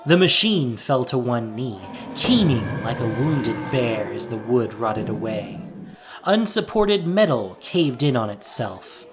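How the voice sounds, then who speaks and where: A man's voice: mid-range voice, expressive, slow pace, background noise. A man giving a dramatic, scripted narration of an adventure story as the narrator of an audio drama.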